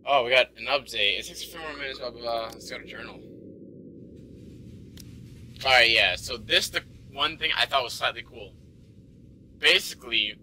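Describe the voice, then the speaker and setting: A man's voice: mid-range voice, bright, forceful tone, slight background noise, poor audio. A man doing informal gaming commentary and reading visual novel narration aloud, stumbling now and then, over game music. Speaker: let's play host.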